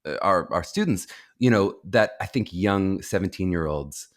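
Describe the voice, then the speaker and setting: A young man with a low voice, hosting a podcast interview, asking thoughtful, rambling questions with many restarts. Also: expressive, moderate pace. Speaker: podcast host. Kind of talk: conversational interview.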